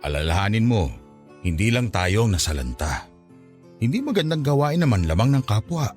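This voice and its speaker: Low-voiced, expressive, patient father. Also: moderate pace, faint music behind. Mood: gently admonishing. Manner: gentle, consoling, earnest. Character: patient, devout, poor middle-aged father. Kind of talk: Tagalog radio drama acting.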